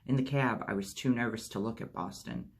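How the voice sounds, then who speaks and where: Husky-voiced man reading a memoir. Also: on the low side, a little fast. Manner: steady narration with lightly acted dialogue. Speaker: audiobook reader.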